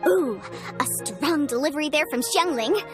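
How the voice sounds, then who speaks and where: A woman's voice: sits high, expressive, normal speed. A woman voicing a formal, upbeat cooking contest presenter in a video game, announcing over music. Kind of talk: video game character acting.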